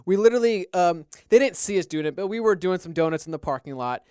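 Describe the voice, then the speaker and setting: A man's voice: sits high, lively intonation, fast, a little mellow, smooth, no echo, studio quality. A young man co-hosting a podcast, telling stories in a rambling way and quoting himself as he goes. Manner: rambling, restarts, quotes himself. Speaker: podcast co-host. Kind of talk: casual storytelling chat.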